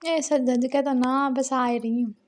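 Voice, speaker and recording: High-voiced young woman, teasing casual chat. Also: fairly even intonation, measured pace. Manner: teasing, playful. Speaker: girlfriend on a phone call.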